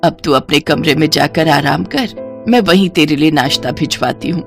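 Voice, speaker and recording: A woman voicing a kind, welcoming mother-in-law in a soft, lowered voice. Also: moderate pace, gravelly, soft background music.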